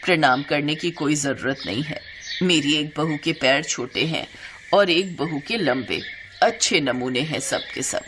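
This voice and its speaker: A woman's voice: low-pitched, measured pace, a bit bright, a little hiss or hum, pitched below the performer's own voice. A woman voicing a stern, bossy older mother-in-law who scolds and grumbles in a family story. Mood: bewildered, sarcastic.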